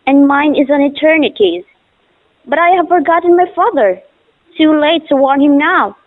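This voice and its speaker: A woman's voice: high-pitched, moderate pace, lightly acted. A woman playing a devoted young daughter in a poetic audio drama, declaiming solemnly and lyrically. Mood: solemn, fervent. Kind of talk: stage-style audio drama acting. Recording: tinny phone-like sound, music behind.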